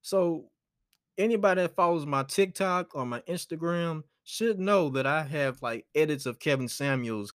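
A twenty-year-old man hosting his solo podcast, rambling in a relaxed way with lots of fillers. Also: a little high, moderate pace, clear, resonant tone, no echo, clean studio sound. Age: 20s. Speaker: podcast host.

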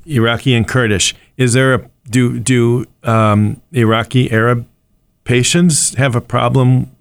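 A man's voice: mid-range voice, steady tone, measured pace, gravelly, no room sound. A man hosting an interview, asking questions and prompting with short replies. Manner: brief prompts, short backchannels. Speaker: podcast host.

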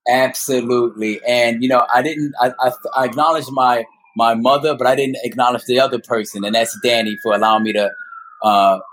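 A man speaking casually as a podcast guest, earnest and halting, often repeating words as he goes. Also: mid-range voice, fairly even intonation, fairly bright tone, a little hiss or hum, rough recording. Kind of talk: casual conversational interview. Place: friend's apartment.

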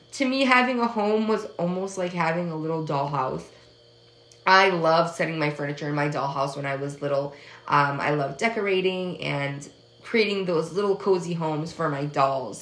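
A woman in her twenties, from the United States, with a first language of French, hosts her own podcast. Her voice sits low and carries a gravelly fry as she talks in a soft, hesitant, earnest way with frequent pauses. The recording picks up a noticeably roomy, echoing space. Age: 20s. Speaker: podcast host. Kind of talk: casual personal podcast monologue. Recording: echoey room.

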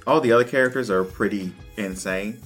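A man co-hosting a casual podcast, talking in an animated, emphatic way. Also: fairly low pitch, brisk, soft background music. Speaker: podcast co-host. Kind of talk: casual chat.

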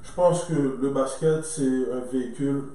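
A man's voice: mid-range voice, steady tone, normal speed, warm tone. A man, a coach co-hosting a casual chat, who drops in English interjections and eager agreement. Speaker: co-host, coach. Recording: very echoey room, distant mic.

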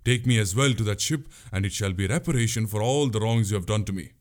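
A man's voice: lowish voice, moderate pace, close to an everyday voice. A man playing a bold, commanding young prince in a historical tale, with formal, resolute speech. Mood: calm. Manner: formal, resolute, archaic wording.

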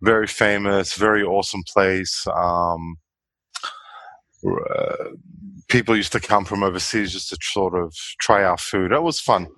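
Guy in his 40s, low voice, chatty and easygoing, dry sound. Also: steady tone, measured pace, a bit bright, no echo. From Australia. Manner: easygoing, chatty, laughs often. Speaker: guest. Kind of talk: casual interview chat.

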